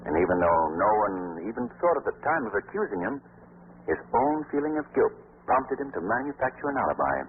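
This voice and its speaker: An actor playing a self-assured amateur sleuth, speaking in a suave, measured, wry 1940s radio style. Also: male voice, medium pitch, sing-song, close to an everyday voice. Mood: assured, explaining. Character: self-assured adult male amateur sleuth, calmly explaining. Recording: crackly, narrow-band 1940s radio recording.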